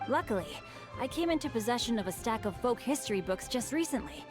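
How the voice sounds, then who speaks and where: Refined, bookish young gentleman. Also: fairly high pitch, sing-song, brisk. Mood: thoughtful. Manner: formal, eloquent, composed. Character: refined, bookish young male guild heir, courteous. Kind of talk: video game character acting. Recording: music behind the voice.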